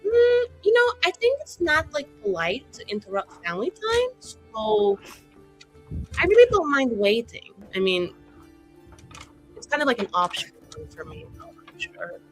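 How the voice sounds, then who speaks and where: A woman's voice: high-pitched, huge pitch swings, measured pace, faint music behind, pitched above the performer's own voice, exaggerated voice, poor audio. A woman playing a cheeky, catty young woman in a tabletop game, with casual, sarcastic delivery. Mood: mock-innocent needling. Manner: casual, filler-laden, sarcastic. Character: cheeky, catty young woman, falsely sweet, needling a rival.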